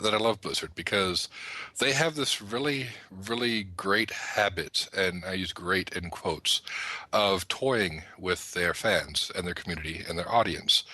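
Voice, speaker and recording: Deep-voiced man with a bright edge. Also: no echo. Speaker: podcast panelist. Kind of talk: casual gaming panel discussion.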